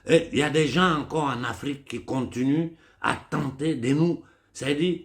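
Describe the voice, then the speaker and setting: A man's voice: a little high, moderate pace. A man with a stuffy voice from a cold, giving an urgent, impassioned monologue as a political commentator. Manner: urgent, builds up with rising force.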